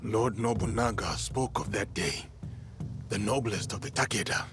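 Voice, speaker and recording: An actor playing an earnest, respectful warrior in samurai training in a video game, speaking in a formal, composed way. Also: male voice, on the low side, normal speed, music in the background, lightly acted. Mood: grave, determined. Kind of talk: dramatic video game acting.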